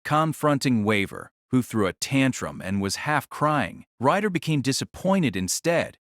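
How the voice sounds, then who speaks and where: A man's voice: medium pitch, very animated, measured pace, a bit bright, dry recording, clean studio sound. A man narrating a novel as a scripted audio drama.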